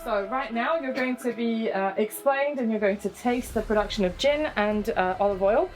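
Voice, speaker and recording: A woman's voice: a little high, moderate pace, low-quality recording. A woman from southwest France guiding visitors through a farm, chatty and joking about herself. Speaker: tour guide. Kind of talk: guided tour explanation.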